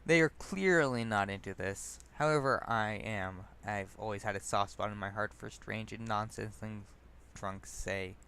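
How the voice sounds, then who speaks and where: A man's voice: lowish voice, a little slow, few pauses, sharp tone, clean tone. A man reading his own personal essay aloud as narrator, stumbling over words and correcting himself. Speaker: narrator and author.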